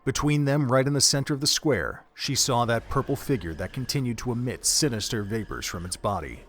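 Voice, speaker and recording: Man narrating a story, measured. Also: medium pitch, a bit bright.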